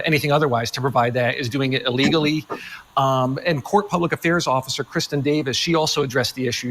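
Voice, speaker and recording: A man's voice: mid-range voice, fairly bright tone. A man reporting live on the news, answering an anchor's question. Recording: news clip with faint background noise.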